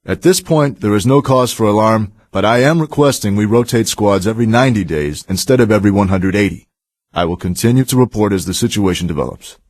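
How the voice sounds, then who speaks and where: An actor playing a stern, steady male Marine sergeant, giving a firm, formal audio report in a video game. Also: on the low side, no room sound, clean studio sound, lightly acted. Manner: firm, formal, matter-of-fact.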